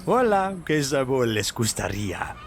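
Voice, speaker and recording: A man playing a friendly shaved-ice vendor in an audio drama, chatting quickly with customers. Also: mid-range voice, very animated, soft background music. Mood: cheerful. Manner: quick, cheerful, mixes in Spanish. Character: friendly middle-aged male street vendor.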